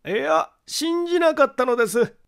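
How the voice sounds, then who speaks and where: A man voicing a grave, secretive man who calmly argues a friend's innocence in a polite, deliberate way, in an audiobook mystery story. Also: extremely high pitch, gravelly, voice pushed up, full character voice. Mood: earnest, explanatory.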